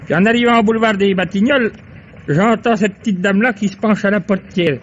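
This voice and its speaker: An actor playing a wary old Parisian cab driver in a radio drama, with speech, hesitant and rambling. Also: male voice, sits high, a little fast, slight background noise, lightly acted. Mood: rambling recollection. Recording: dull vintage radio recording.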